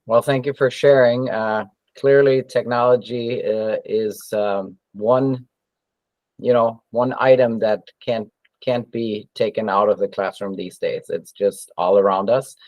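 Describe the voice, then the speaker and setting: A man's voice: on the low side, fairly even intonation, unhurried, darkish tone, no echo, low-quality recording. A man whose first language is German, hosting a webinar in a friendly way with hesitant fillers. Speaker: webinar host. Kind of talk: webinar interview.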